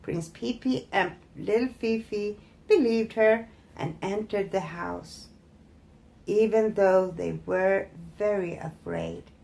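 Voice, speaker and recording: A woman narrates a fairytale as a storyteller. Her pace is slow and deliberate, with expressive delivery and a medium-pitched voice. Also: creaky. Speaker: storyteller, host.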